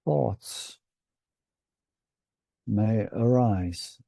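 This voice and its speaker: A man leading a guided meditation in a hushed, unhurried manner with long pauses between short phrases. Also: lowish voice, creaky, no echo.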